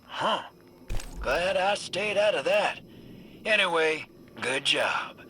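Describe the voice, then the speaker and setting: An actor playing a laid-back, roguish mercenary in a video game, with a wry, teasing, folksy manner. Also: male voice, high-pitched, lively intonation, takes it slowly, sharp tone, a little hiss or hum, exaggerated voice. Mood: relieved, casual. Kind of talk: video game mission dialogue.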